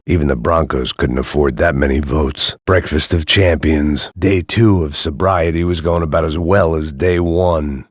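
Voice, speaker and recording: An actor playing a world-weary, self-loathing middle-aged ex-cop, giving sardonic, deadpan noir narration for a video game. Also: male voice, a bass voice, husky, no echo, studio quality. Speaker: game protagonist. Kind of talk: hardboiled video game inner monologue.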